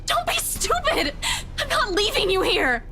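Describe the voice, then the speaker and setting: Man doing a very shrill, frantic young woman. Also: huge pitch swings, a little slow, pushed and bright, a little hiss or hum, exaggerated voice. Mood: stubborn defiance. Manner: shrill, urgent. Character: frightened but stubborn, protective young woman. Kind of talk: visual novel character dialogue, read aloud.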